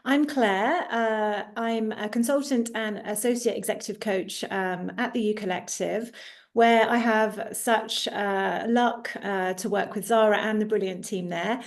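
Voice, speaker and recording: A British-accented woman in her forties speaking as an executive coach in an online masterclass, often pausing on filler sounds. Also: medium pitch, fairly even intonation. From United Kingdom. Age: 40s. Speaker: executive coach and guest presenter. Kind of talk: introducing herself in a live online masterclass. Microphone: video call.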